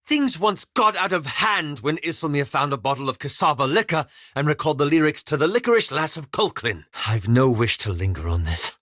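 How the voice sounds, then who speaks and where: Formal, reserved scholarly elf wizard. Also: male voice, a little high, expressive, fairly bright tone, no room sound, studio quality. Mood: polite, flustered. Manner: formal, measured, courteous diction. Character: polite, anxious, scholarly elven wizard, reserved and earnest. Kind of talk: video game character dialogue.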